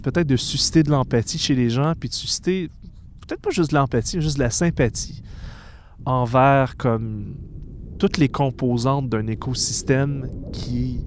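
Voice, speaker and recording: A man's voice: medium pitch, moderate pace, a little hiss or hum. A man with a Québécois accent hosting a podcast, talking casually and reflectively, often searching for his words. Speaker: podcast host. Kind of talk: casual conversation.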